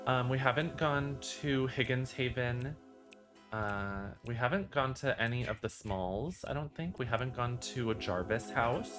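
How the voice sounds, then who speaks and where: A man's voice: medium pitch, lively intonation, a little slow. A man streaming a game, chatting casually with friends over music. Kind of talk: casual group gaming chat. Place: voice over gameplay with music behind. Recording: clear music behind the voice.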